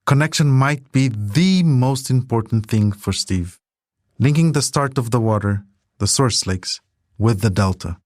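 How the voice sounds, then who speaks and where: A man's voice: mid-range voice, expressive, unhurried, a little mellow, no echo, studio quality. A man delivering scripted documentary narration as a podcast narrator, telling a story in composed sentences.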